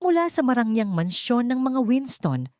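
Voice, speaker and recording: A woman's voice: fairly high pitch, big pitch swings, normal speed, darkish tone, dry recording, studio quality. A woman narrating a scripted dramatic story in a formal, sentimental storytelling manner. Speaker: audiobook narrator.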